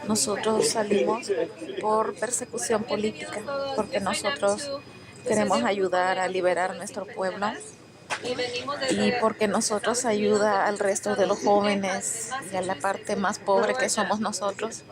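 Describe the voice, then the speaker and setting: A woman with a Nicaraguan Spanish accent gives calm, steady testimony. Her pitch barely moves, staying flat and even. Loud crowd chatter fills the space behind her. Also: normal speed. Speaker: asylum seeker. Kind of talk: documentary interview.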